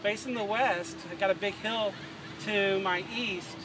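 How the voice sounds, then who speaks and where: A man's voice: sits high, steady tone, unhurried. A man chatting casually over ham radio outdoors. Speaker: amateur radio operator. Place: outdoors in a beachside parking lot. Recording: distant, very noisy outdoor recording.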